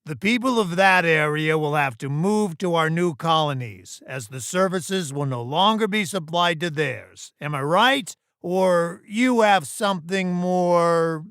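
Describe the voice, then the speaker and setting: A man voicing a stern, dismissive boss in an animated furry sci-fi story, with a forceful, authoritative, condescending delivery. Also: fairly high pitch, a little slow, few pauses, clean tone, no echo, clean studio sound, pitched above the performer's own voice. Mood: firm, condescending.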